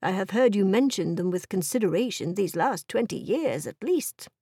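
A woman voicing a dry, sardonic middle-aged husband in a period novel audiobook, teasing in a measured, deadpan way. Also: mid-range voice, slightly rough, dry recording. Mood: mock solemn.

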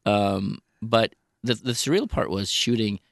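A man's voice: lowish voice, measured pace, creaky, no room sound, clean studio sound. A man guesting on a radio interview, an actor and producer, chatty, stumbling over and restarting phrases, laughing easily.

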